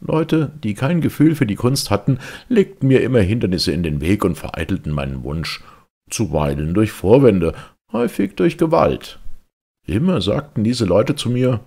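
Guy doing a lowish gravelly humorist giving a mock-earnest formal speech, very dramatic and ironic. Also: expressive, normal speed, darkish tone. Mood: wry, musing. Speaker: reader. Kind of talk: theatrical literary speech reading.

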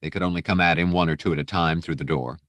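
A man's voice: sits low, steady tone, fast, a bit bright, no room sound. A man reading fiction as an audiobook narrator, in a dramatic scripted style.

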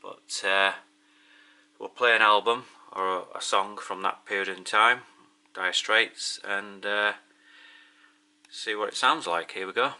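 A man's voice: lowish voice, fairly even intonation, unhurried, bright, forceful tone, smooth. A middle-aged man with a Yorkshire accent giving a plain-spoken, slightly hesitant casual vlog narration at home. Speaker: video host. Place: indoors in a home living room.

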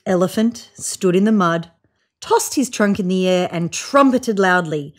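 A woman in her thirties reading a children's picture book aloud on a sofa, with voiced sound effects and hushed whispers. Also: lowish voice, lively intonation, unhurried. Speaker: storybook reader. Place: indoors on a sofa.

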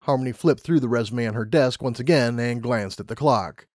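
A man's voice: medium pitch, fairly quick, a little mellow, no echo, studio quality. A man reading a scripted story as an audiobook narrator.

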